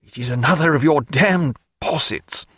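A man voicing a testy, indignant invalid doctor who protests slowly, in a storybook reading. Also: fairly high pitch, a bit bright, husky, dry recording, clean studio sound, pitched above the performer's own voice.

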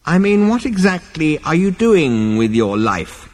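A man voicing an ancient, scornful ghostly alien great-grandfather from a comic science fiction story, screechy and rasping, snappish and pompous. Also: a little high, big pitch swings, in a large hall, pitched above the performer's own voice. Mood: withering disappointment. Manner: snappish, drawn-out, withering, pompous.

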